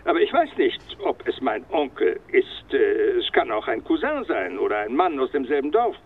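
An actor playing a stubborn, articulate and formal French police commandant in a German radio crime drama. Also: male voice, mid-range voice, big pitch swings, moderate pace, slightly rough. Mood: explanatory. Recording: dull, band-limited phone-call sound, faint music.

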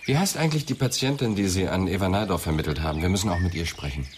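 A man's voice: low-pitched, racing, a little hiss or hum, close to an everyday voice. An actor playing a persistent, sceptical male police inspector who questions tersely in a radio crime drama. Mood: probing. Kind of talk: German radio drama dialogue.

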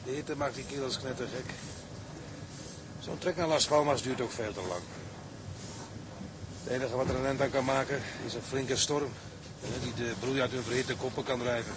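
An actor playing a seasoned ship's officer in a radio drama, talking in a wry, matter-of-fact way. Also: male voice, mid-range voice, fairly even intonation, normal speed, noisy background, close to an everyday voice. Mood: wry, resigned.

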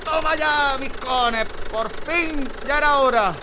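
A man's voice: extremely high pitch, slow pace, noisy background. A man playing a cursing truck driver. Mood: muttering. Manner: cursing, exclaiming, shouting. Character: foul-mouthed male truck driver, gruff but kind.

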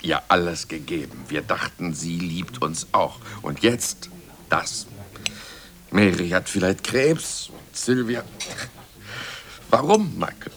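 A man playing a weary, disillusioned older gentleman in a radio drama, halting, resigned and confiding. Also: low voice, heavily acted. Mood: grieving, confiding. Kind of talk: German radio drama acting.

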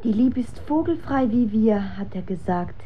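A woman's voice: a little high, lively intonation, normal speed, highs cut off, a little hiss or hum, close to an everyday voice. An actress playing a lovesick, shivering young daughter of olden times in a radio drama, dreamy and archaic in her wording. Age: young adult.

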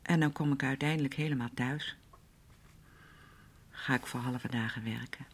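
A woman's voice: extremely low pitch, fairly even intonation, moderate pace, husky. An actress playing a fragile, naive grown woman in a radio drama, speaking breathily and often near a whisper in simple, hesitant phrases. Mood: hopeful, fragile. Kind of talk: naturalistic Dutch radio drama acting.

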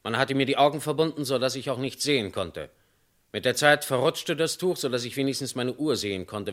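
An actor playing a kidnapped industrialist giving a plain statement in a radio play. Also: male voice, medium pitch, a bit bright, natural voice.

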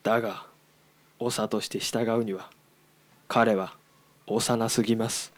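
A man's voice: lowish voice, little pitch movement, slow. A man playing a stern, cautious chief engineer on a council, speaking formally and firmly in an amateur radio drama. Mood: stern, objecting. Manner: formal, firm, emphatic. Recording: reverberant hall, faint background noise.

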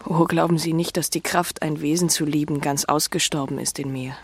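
Husky, desperate young outcast woman. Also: low-pitched, steady tone, a little fast, dry recording. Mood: anguished, pleading. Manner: pleading, impassioned. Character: desperate, humbled young fallen woman, fiercely sincere. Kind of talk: German radio drama acting.

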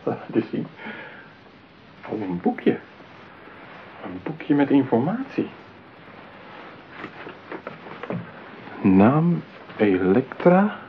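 A man's voice: medium pitch, big pitch swings, slow pace, pauses often. An actor in a radio play playing a lonely, possessive man besotted with his mechanical bride, talking theatrically. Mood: suspicious, scornful. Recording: tinny narrow-band radio play, clear hiss.

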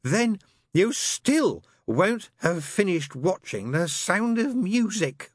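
A man reading aloud and voicing a sly male demon who is tipsy and keeps pressing his point in a slow, wheedling way. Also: sits high, big pitch swings, husky, no echo, studio quality, pitched above the performer's own voice, full character voice. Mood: insistent. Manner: wheedling, insistent, drawn-out.

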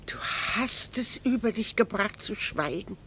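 An actress playing a restrained, dignified middle-aged wife in a radio drama, wounded and incredulous, with theatrical diction. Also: female voice, medium pitch, lively intonation, unhurried, fairly bright tone, breathy edge. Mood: shocked, hurt. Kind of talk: 1960s German radio drama acting.

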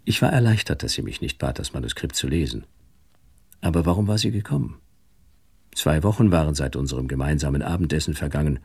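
An actor playing a worldly, detached married man, narrating and speaking in a radio drama with a husky voice. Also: very deep voice, expressive, a little fast, dry recording, full character voice. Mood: reflective. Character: worldly, detached married man recounting his own story. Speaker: narrator and male lead. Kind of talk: first-person radio drama narration and dialogue.

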